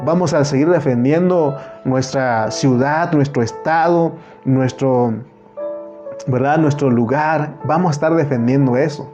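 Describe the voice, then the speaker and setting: A man's voice: medium pitch, measured pace, darkish tone, dull audio, soft background music. A man with a Mexican accent preaching a sermon, earnest and repetitive, often calling the listener brother.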